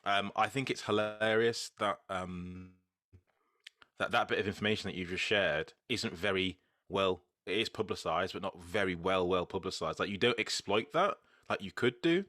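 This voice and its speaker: A podcast host with a low voice that still sounds bright, recorded dry and close. He chats loosely and circles a question, repeating words as he feels his way toward it. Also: moderate pace, dry recording. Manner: chatty, searching for words, repeats himself. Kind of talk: casual interview.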